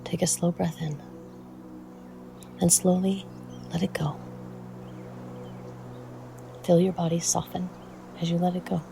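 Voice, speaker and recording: A meditation guide speaking in a soothing, measured way with frequent pauses and a soft, at times breathy voice. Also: mid-range voice, little pitch movement, music in the background.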